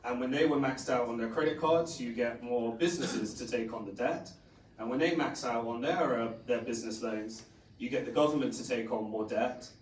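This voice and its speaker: A middle-aged man with a hoarse, croaky voice gives a rambling conference talk, full of fillers. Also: medium pitch, normal speed. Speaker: conference speaker. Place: conference stage. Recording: echoey hall, distant mic, faint noise.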